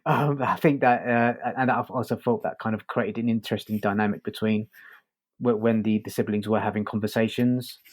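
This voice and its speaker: A man co-hosting a podcast, chatting casually in a halting way and often repeating words as he goes. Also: on the low side, fairly even intonation. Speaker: podcast host and reviewer. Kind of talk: casual review chat.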